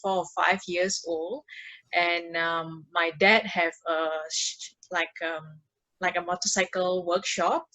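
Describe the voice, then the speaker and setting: A woman from Indonesia with an Indonesian accent, sharing personal stories as a podcast guest in a halting, casual way. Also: on the low side, steady tone, pushed and bright, low-quality recording. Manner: halting, with frequent repeated words. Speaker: podcast guest, mindfulness practitioner.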